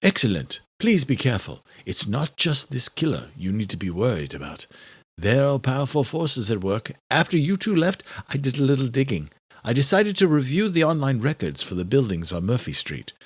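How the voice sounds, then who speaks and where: An earnest scientist and doctor performed by a male narrator, with a raised, lively pitch and dry sound. Worried but loyal, the audiobook figure explains things carefully. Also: moderate pace, slightly rough, no room sound. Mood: determined. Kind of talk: horror thriller audiobook character.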